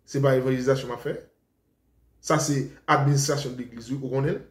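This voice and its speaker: A man's voice: mid-range voice, fairly even intonation, fairly quick. Haitian man preaching in a roomy space. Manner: rambling, defensive, switches between Creole and French. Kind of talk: informal preaching talk to followers. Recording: roomy echo.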